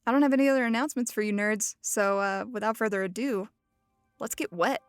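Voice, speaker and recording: A woman's voice: mid-range voice, a little fast, smooth, faint music behind. A woman hosting a podcast, explaining in a casual, playful and self-mocking way. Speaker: podcast host. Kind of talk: casual educational podcast talk.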